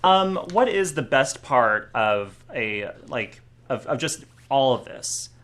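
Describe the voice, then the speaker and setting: A man's voice: medium pitch, sing-song, slow pace, fairly bright tone. A man hosting a live studio interview, asking loose, chatty questions and teasing his guest. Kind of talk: casual interview chat.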